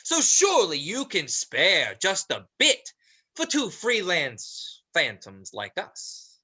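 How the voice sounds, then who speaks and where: A performer plays an ominous, mocking demon for a stage play. The voice is bright and swings widely in pitch. It draws out its mock-polite taunts at a leisurely, theatrical pace. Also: wildly swooping pitch, slow pace, slightly rough, full character voice. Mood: taunting. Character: smooth, menacing, theatrical demon Mister Woozle, mocking and ominous. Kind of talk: livestreamed stage play reading.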